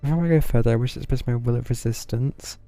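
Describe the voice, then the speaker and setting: A man streaming a game, reading dialogue aloud and adding sardonic asides in a deadpan way. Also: mid-range voice, fast, no room sound, faint music behind.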